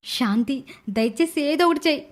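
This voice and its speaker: A woman voicing a scheming, desperate young woman in an animated moral story, with a dramatic, urgent delivery. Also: high voice, normal speed. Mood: pleading.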